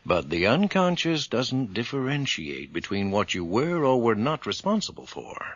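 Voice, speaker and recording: An actor playing a middle-aged, rational and reassuring psychiatrist in a radio drama, explaining things in a professional way. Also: male voice, mid-range voice, big pitch swings, dry recording. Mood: explanatory. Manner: measured, explanatory, professional, with thoughtful hesitations. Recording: dull, dry radio drama recording.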